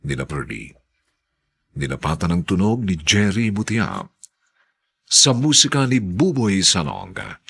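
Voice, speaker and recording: A man with a Filipino accent announcing a radio drama in a formal, theatrical, courteous and measured scripted style. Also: low voice, very animated, creaky, fairly bright tone, slightly rough.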